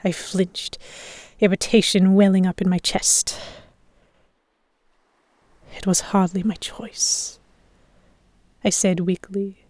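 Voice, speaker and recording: A woman playing a stubborn, sharp-tongued captive of pirates, narrating an audio drama in the first person. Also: mid-range voice, little pitch movement, takes it slowly, pauses often, no room sound. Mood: irritated.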